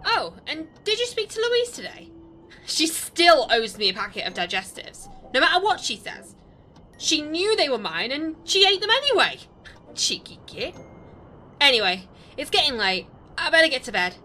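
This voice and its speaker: A woman's voice: high-pitched, sing-song, normal speed, sharp tone, a little hiss or hum, exaggerated voice, poor audio. A woman with a British accent playing a sceptical, bewildered ordinary young woman in an audio drama, wry and colloquial. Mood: casual chat. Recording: music under the voice.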